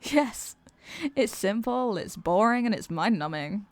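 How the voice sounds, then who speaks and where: A woman's voice: medium pitch, animated, a little slow, no echo. A woman playing an exasperated, sarcastic supervillain who is secretly weary of evil, in a theatrical comedic ASMR roleplay monologue. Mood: gleeful scheming.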